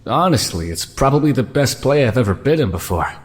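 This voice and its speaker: A man's voice: medium pitch, sing-song, brisk, close to an everyday voice. A man playing a terse, matter-of-fact amateur stage actor in audio drama dialogue. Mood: casual, curious.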